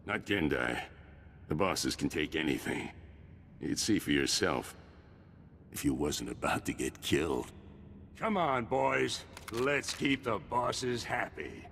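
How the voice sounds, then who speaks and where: A man playing a menacing, amused pirate thug in a video game, with rough, crude, gravelly speech. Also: low-pitched, sing-song, fairly bright tone, heavily acted. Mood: threatening. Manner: slow, rough, ungrammatical speech. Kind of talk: video game cutscene acting. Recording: long reverb, faint background noise.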